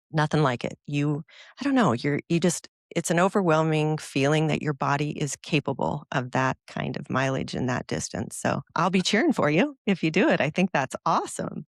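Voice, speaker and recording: A woman's voice: low voice, no echo, studio quality. A woman hosting an interview, asking encouraging questions and telling her own stories in a conversational way. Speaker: interview host.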